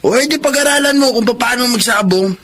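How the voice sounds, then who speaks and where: A man's voice: very high voice, brisk, fairly bright tone, full character voice. A man playing an easygoing, coaxing gambling buddy in a radio drama. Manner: breezy, coaxing.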